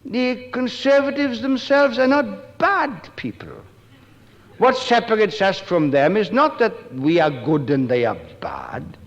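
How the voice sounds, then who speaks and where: An actor playing an earnest politician delivering a measured political speech. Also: male voice, high voice, sing-song, full character voice. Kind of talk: political oratory. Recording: muffled, long echoing hall.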